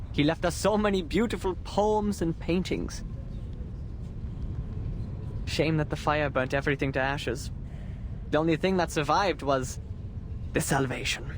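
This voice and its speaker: A man's voice: fairly high pitch, slight background noise, natural voice. An actor playing a solemn, idealistic man giving a theatrical memorial speech in a grandiloquent, oratorical manner in a video game. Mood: mournful, oratorical. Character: solemn eulogist, an idealistic man mourning his friend.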